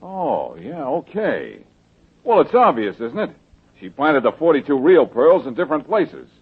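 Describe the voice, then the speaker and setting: An actor playing a confident, quick-witted troubleshooter in an old-time radio drama, with a brisk, wry manner. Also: male voice, on the high side, huge pitch swings, husky, exaggerated voice. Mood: explaining. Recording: dull old radio recording.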